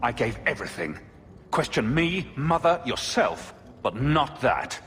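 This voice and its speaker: A man's voice: mid-range voice, very animated, unhurried, a bit bright. A man playing a firm, dryly sardonic young elder brother in a video game, terse and protective. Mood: stern. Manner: dry, terse, assertive. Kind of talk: dramatic video game acting. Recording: long reverb with background music.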